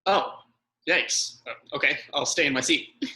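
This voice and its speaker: A man's voice: on the high side, unhurried, bright, forceful tone. A man playing a doting, sappy young boyfriend who gets nervous under pressure, at a script table read. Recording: roomy, echoing pickup.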